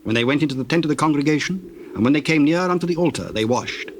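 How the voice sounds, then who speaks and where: A man's voice: a little high, lively intonation. Solemn male narrator, faint background noise. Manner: solemn, measured, deliberate. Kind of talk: dramatized scripture narration.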